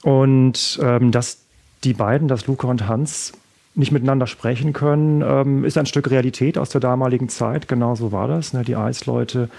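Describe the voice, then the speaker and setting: A man, bilingual with Italian as his first language, answering questions about his debut novel in a measured way, pausing often with fillers. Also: medium pitch, fairly even intonation, creaky, warm tone. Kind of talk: thoughtful interview answers.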